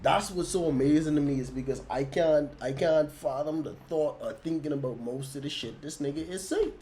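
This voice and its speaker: A man's voice: a little high, normal speed, warm tone, a little hiss or hum. A man hosting a casual podcast, laid-back and emphatic. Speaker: podcast host.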